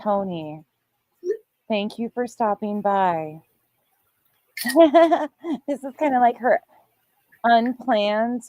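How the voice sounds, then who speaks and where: A woman's voice: medium pitch, a little mellow, no echo. A woman giving casual, sarcastic livestream commentary, blunt and mocking, with some swearing. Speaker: livestream host reacting to another creator's stream.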